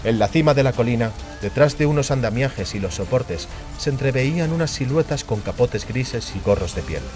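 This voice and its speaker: A man's voice: mid-range voice, lively intonation, moderate pace, a little mellow. A man narrating a fantasy audiobook in a scripted, dramatic storytelling style. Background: music.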